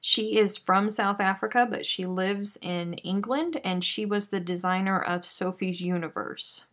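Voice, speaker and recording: A woman's voice: medium pitch, a little slow, fairly bright tone. Chatty woman hosting a live craft stream. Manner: chatty and self-deprecating, with sighs and laughs. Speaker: host of a live crochet stream. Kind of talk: casual chat while crocheting, reading viewer comments.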